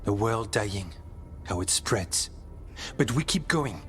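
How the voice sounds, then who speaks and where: Male fantasy game warden: hopeful, earnest, nature-loving, very animated pitch, music underneath. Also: takes it slowly. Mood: earnest, reflective. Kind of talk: video game dialogue acting.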